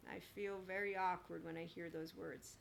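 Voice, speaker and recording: A woman in her forties speaks in a slightly low voice, hosting a solo podcast. Her delivery is blunt and emphatic, edged with sarcasm as she airs opinions and personal stories. There is some noise in the background of the recording. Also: flat delivery, normal speed, creaky. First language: bilingual with Italian. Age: 40s. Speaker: podcast host.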